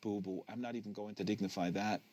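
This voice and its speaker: A man's voice: on the low side, steady tone, fast talker, a little mellow, no echo, voice pushed down, lightly acted. A man reading a novel, voicing a tired, patient, wry teenage older brother. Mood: weary, soothing.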